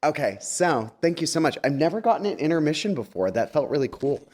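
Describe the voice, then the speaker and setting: A man's voice: a little high, big pitch swings, brisk, darkish tone, slightly rough. A man giving a conversational message to a congregation as a guest speaker, chatty and wry, with campy slang.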